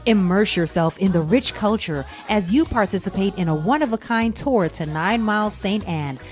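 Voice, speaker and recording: A woman's voice: medium pitch, lively intonation, moderate pace. A woman announcer reading a scripted travel advertisement. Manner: smooth promotional delivery. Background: music bed.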